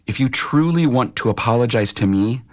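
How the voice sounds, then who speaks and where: A man playing a stern, protective middle-aged uncle in an audio drama, speaking firmly in a measured, admonishing way. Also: mid-range voice, animated, natural voice. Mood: stern, reproachful.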